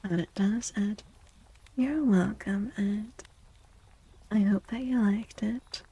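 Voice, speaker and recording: A soft-spoken woman livestreaming an ASMR tarot reading, gentle and soothing, with a breathy voice that often slips into a whisper. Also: medium pitch, fairly even intonation. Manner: gentle, soothing, unhurried reassurance.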